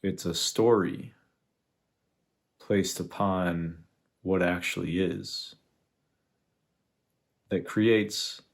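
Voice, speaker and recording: A man leading a guided meditation, speaking in an unhurried, reflective way with long pauses between phrases. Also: sits low, fairly even intonation, vocal fry. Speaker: meditation guide, spiritual teacher. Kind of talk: guided meditation, contemplative teaching.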